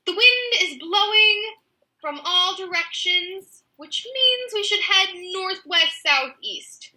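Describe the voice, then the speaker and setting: A woman's voice: very high voice, animated, takes it slowly, sharp tone, pitched above the performer's own voice, full character voice, rough recording. A woman voicing a bossy, overconfident, dim-witted female kidnapper from a children's story, pompous about her nonsense. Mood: smug. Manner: pompous, matter-of-fact nonsense.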